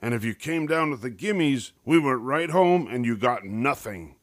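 A man's voice: mid-range voice, animated, moderate pace, no echo, clean studio sound, voice pushed up, close to an everyday voice. A man voicing an old grandfather bear in a storybook, folksy and teasing, reminiscing in clipped phrases. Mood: teasing, nostalgic.

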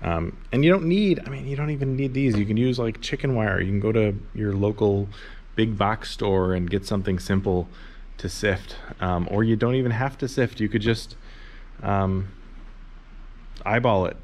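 A man's voice: fairly low pitch, normal speed. A man in his thirties casually explaining how to start a worm farm, rambling with lots of ums, filmed close in a large barn. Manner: relaxed, rambling, many "um"s. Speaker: worm farmer. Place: large insulated barn or workshop, indoors. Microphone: camera held low, close.

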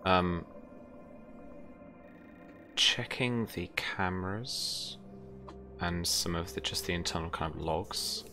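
A young man narrating a tabletop game as game master, in halting, thoughtful phrases, speaking indoors over an online call. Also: low-pitched. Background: faint music.

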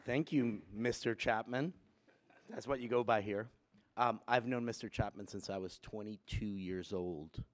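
A man in his fifties from Puerto Rico speaks into a gooseneck mic at a chapel lectern. His voice sits fairly low, and he talks in a loose, conversational way with plenty of fillers. He builds to emphatic bursts. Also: unhurried, a faint crowd. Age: 50s. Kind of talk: personal talk to students. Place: wood-panelled school chapel, students seated behind.

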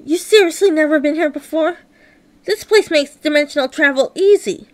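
Woman as a confident, high-voiced time-travelling guide. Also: normal speed, lightly acted. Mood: assured.

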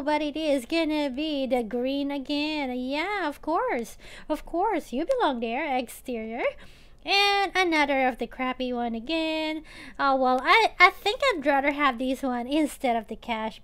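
Chatty high-voiced woman playing a game. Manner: chatty, playfully whiny, thinks aloud with many uhs. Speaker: gaming channel host. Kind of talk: casual game commentary. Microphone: tablet or phone mic.

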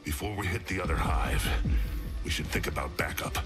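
An actor playing a gruff, weary older soldier father in a video game, terse and commanding, with a gravelly growl. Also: low-pitched, a little fast, over loud music, natural voice. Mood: grim, measured. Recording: dialogue under loud game soundtrack.

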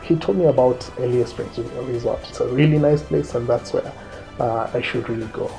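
Kenyan man, thirties, interview storyteller, relaxed dark tone over light background music. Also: medium pitch, moderate pace, gravelly, low-quality recording. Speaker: interviewee recounting his own trip. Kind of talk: conversational storytelling to camera. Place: small quiet indoor room, seated. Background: faint music.

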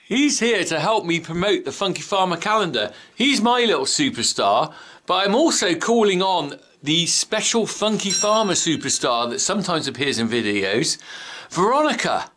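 A man's voice: high-pitched, big pitch swings, normal speed, a bit bright, a little hiss or hum. A middle-aged English man hosting a jokey farm vlog in a chummy, teasing way. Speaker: farmer vlogger host. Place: living room indoors.

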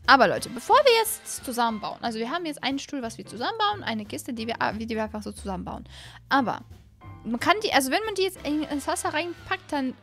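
High-voiced young woman chatting over a game. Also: sing-song, fast, fairly bright tone, faint music behind. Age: 20s. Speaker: host of a gaming channel. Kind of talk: casual let's-play commentary. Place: small indoor streaming room at home. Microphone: close studio microphone on an arm.

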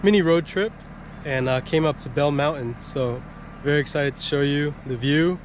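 A higher-pitched man chats eagerly to a handheld action camera outside, walking viewers through each detail of his car. There's a faint hum of outdoor noise behind him, and his delivery is casual and upbeat. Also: normal speed. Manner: enthusiastic, chatty, shows off car details. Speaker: car vlogger. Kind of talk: casual vlog narration. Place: outdoors at a shop lot and a mountain overlook. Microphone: action camera held or mounted.

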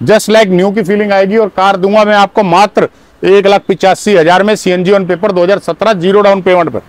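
Man, middle-aged, high-pitched, car dealer, energetic sales pitch, outdoor lot, lapel mic. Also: normal speed, dry recording. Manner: punchy, repeats "my brothers" often.

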